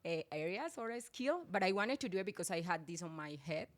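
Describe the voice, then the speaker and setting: Woman, Colombian Spanish accent, Spanish native speaker, low-pitched, earnest, grammar slips. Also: lively intonation, fairly quick. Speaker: guest, drink business owner. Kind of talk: casual interview.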